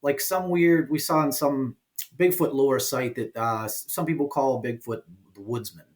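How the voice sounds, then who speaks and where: A man talking casually as an interview guest, explaining his work in an informal, halting way. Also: mid-range voice. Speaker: guest, indie game developer and producer.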